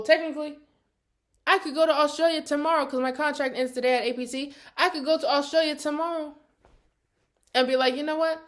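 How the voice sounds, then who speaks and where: An American woman in her early twenties chatting casually to her vlog viewers in an animated, sassy, slangy storytelling way. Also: high voice. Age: early 20s. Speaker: vlogger.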